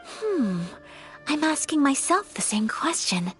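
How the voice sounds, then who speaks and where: A woman voicing a poised, thoughtful young noblewoman in a video game, speaking in a measured, polite, carefully articulated way. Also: high-pitched, very animated, heavily acted. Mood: puzzled, pensive. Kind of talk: English video game voice acting. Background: game music.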